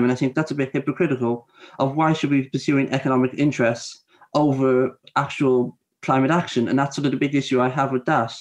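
A man in his twenties from Ireland, a youth climate activist speaking earnestly on a panel, with frequent restarts. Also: mid-range voice. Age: 20s. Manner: fast, earnest, with many restarts and hedges. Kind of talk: panel discussion.

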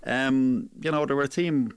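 A man's voice: mid-range voice, moderate pace, clean tone, dry recording. A man, a sports journalist and author, answering interview questions thoughtfully with plenty of hedging fillers. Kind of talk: radio interview guest.

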